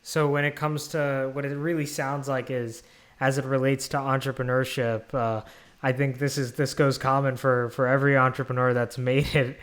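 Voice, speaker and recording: A man's voice: mid-range voice, little pitch movement, normal speed, a bit bright, smooth. A man hosting an interview podcast, asking long, rambling questions full of restarts. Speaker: podcast host.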